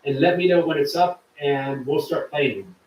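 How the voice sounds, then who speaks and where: Guy hosting a livestream, kinda high voice, echoey room, sounds a bit far from the mic. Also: moderate pace. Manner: chatty, warm to viewers, reads chat aloud. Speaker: livestream host. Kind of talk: casual live chat reading comments.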